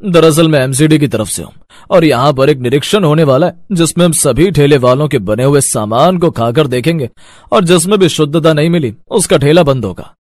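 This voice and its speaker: A man's voice: on the high side, a little fast, a little mellow, no room sound, studio quality, natural voice. Man playing an officious municipal inspector. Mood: official, polite. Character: brisk, officious male municipal food inspector.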